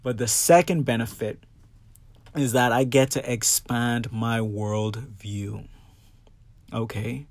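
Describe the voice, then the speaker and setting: A man from the Bahamas speaks in a close, dry recording with a medium-pitched voice. He hosts a personal podcast in a conversational, expressive way, leaning on key words and addressing listeners directly. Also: slow pace, long pauses, no room sound. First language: English. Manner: expressive, emphatic, checks in with listeners. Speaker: podcast host. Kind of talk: personal solo podcast talk, casual and conversational.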